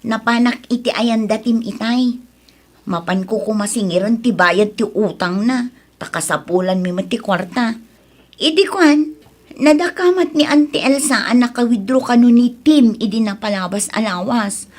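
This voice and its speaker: A woman's voice: fairly high pitch, moderate pace, darkish tone, natural voice. An actress playing an earnest, dutiful young Ilocano woman in a radio drama, speaking expressively. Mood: worried. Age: young adult.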